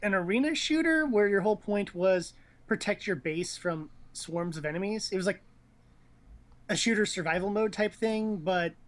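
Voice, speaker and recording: Man, slightly high voice, casual gaming talk, rambling, opinionated. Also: animated, normal speed. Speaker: host of a gaming news show.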